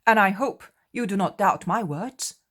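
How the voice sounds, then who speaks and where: A man's voice: high-pitched, expressive, unhurried, sharp tone, pitched above the performer's own voice, exaggerated voice. A woman voicing Thomas, an insistent adult male disciple who speaks in a raised voice, defending his word.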